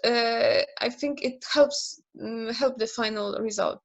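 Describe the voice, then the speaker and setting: A woman's voice: a little high, slow pace, lo-fi sound. A woman in her thirties with a Polish accent, speaking English as a second language as an interview guest on a video call, hesitant with many fillers and restarts. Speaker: interview guest, naming specialist. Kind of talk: casual interview conversation.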